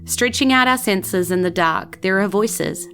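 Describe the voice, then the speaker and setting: A woman narrating a scripted fantasy audio drama in a deliberate, portentous storytelling manner. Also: mid-range voice, fairly bright tone, faint music behind. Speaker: game master narrator.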